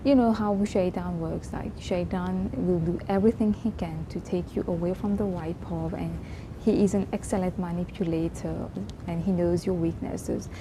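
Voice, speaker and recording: Soft-spoken young woman vlogging, hum behind. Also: fairly low pitch, fairly even intonation. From Mauritius. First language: Mauritian Creole. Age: 20s. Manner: reflective, earnest, many restarts and pauses. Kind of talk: casual personal vlog talk.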